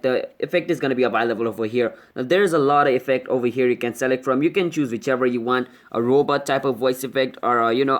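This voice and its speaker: Male tutorial host, chatty and casual, rapid delivery, mid-pitched voice. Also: fairly bright tone. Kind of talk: casual how-to screen tutorial narration.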